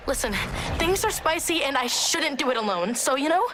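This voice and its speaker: Young female vigilante livestreamer, high voice, very animated, breezy, slangy, sarcastic, video game cutscene, noisy background. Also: normal speed. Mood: awkward, pleading. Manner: chatty, slangy, playful, sarcastic.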